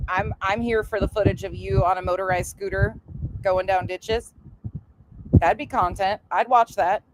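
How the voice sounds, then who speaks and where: A woman's voice: mid-range voice, noisy background. A woman giving casual livestream reaction commentary in a blunt, sarcastic, sweary way. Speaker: live stream commentator.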